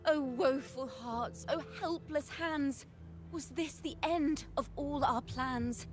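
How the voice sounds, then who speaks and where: Game bard, high female voice, slow grand declamation, heroic verse, music behind. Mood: mournful, dramatic. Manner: dramatic, declamatory. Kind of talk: theatrical verse recitation in a video game.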